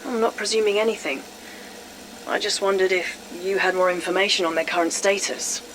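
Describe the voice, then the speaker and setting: A woman's voice: medium pitch, steady tone, a little fast, a bit bright, slight background noise, lightly acted, poor audio. A woman playing a tired, exasperated and sardonic scientist in an audio drama. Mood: guarded.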